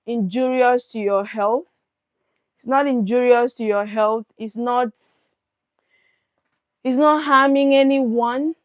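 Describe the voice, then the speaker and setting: Nigerian lady doing a chatty live q&a, kinda high voice, dry close sound. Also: no room sound. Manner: chatty, reads viewer questions aloud and answers them. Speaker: livestream host. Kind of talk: casual live Q&A.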